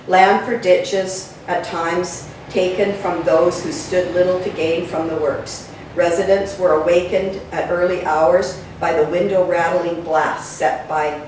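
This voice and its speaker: Echoey low-voiced woman reading aloud. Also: darkish tone. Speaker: reader of a local history book. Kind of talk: read-aloud narration of local history. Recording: very echoey room, distant mic, faint noise.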